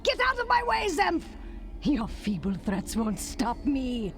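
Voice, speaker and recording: An actress playing a ruthless, scornful Nazi villainess in a game cutscene, commanding and contemptuous. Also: female voice, high-pitched, huge pitch swings, takes it slowly, soft background music, full character voice. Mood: scornful, determined. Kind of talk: dramatic game cutscene acting.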